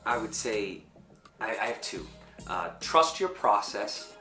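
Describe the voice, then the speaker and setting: Man, lowish voice, thoughtful interview talk, echoey room, music underneath. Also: animated, slow pace. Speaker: performer and fight choreographer. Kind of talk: reflective interview answers to camera. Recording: roomy echo with music underneath. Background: background music.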